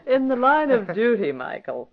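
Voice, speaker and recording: Self-assured newswoman, animated, slightly high voice, dated radio recording. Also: moderate pace. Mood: dry. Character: brisk, self-assured newspaper woman. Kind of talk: 1940s radio acting. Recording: muffled vintage radio, faint noise.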